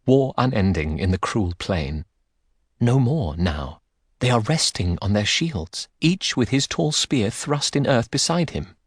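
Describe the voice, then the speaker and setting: A man voicing a gentle messenger goddess. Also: on the low side, breathy edge, dry recording, studio quality, exaggerated voice. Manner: soft, coaxing. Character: gentle messenger goddess, female, disguised as kin.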